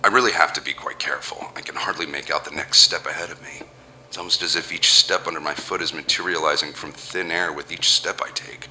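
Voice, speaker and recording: A man's voice: very deep voice, steady tone, a little fast, pushed and bright, a little hiss or hum, full character voice, low-quality recording. An actor playing a powerful, nervous businessman who talks to himself in a rambling, sardonic way, narrating an audio horror drama. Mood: wry, uneasy. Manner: rambling self-talk, sardonic, jittery. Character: powerful businessman, alone, nervous, self-mocking, slowly unravelling. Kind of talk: first-person audio horror monologue.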